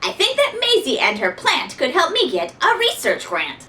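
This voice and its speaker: A woman's voice: high-pitched, wildly swooping pitch, normal speed, sharp tone, roomy sound, distant mic, slight background noise, voice pushed up, exaggerated voice. A woman voicing a self-important storybook doctor, bossy and possessive. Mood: bossy insistence. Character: possessive, self-important male doctor eager for research money.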